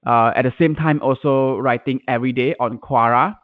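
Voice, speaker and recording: A man's voice: mid-range voice, normal speed, dry recording. Podcast guest, male, Mandarin first language, conversational, phone-like narrow audio. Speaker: podcast guest, content manager and blogger. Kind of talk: casual interview answers. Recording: tinny, band-limited internet call audio.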